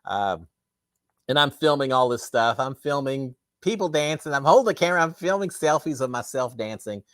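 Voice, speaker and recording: A middle-aged man hosts a relaxed livestream, reading out viewer questions and chatting back. His voice is a bit raspy from overuse, and his pitch rises and falls a lot as he rambles through restarts and fillers. The sound is close and dry, with no room echo. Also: normal speed. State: slightly raspy, tired throat. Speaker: livestream host. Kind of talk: casual live chat answering viewer comments.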